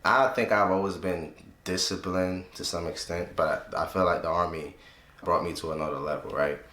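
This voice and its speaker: A man's voice: low voice, fairly even intonation, normal speed, pushed and bright, roomy sound. A man in his twenties talking loosely and reflectively in a casual vlog, often tagging his points with "right?". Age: 20s. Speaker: vlogger. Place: room he uses as a home studio.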